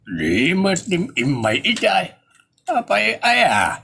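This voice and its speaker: A man playing an old father in a comedy audio drama, drawling his words out very slowly. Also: high voice, wildly swooping pitch, creaky, pushed and bright, a little hiss or hum, exaggerated voice. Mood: unhurried.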